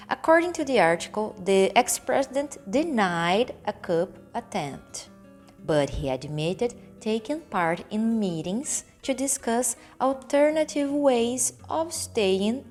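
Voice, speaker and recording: A Brazilian woman speaks English as a second language, with a Brazilian Portuguese accent, at an unhurried pace and a mid-range pitch. She explains vocabulary carefully, repeating key words as she goes. Soft music plays faintly underneath. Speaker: English teacher and channel host. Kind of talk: relaxed explanatory lesson to camera.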